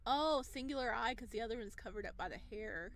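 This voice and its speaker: A woman hosting a gaming video, casually commenting and reading narration aloud in an expressive, gushing manner. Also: a little high, brisk, a little hiss or hum.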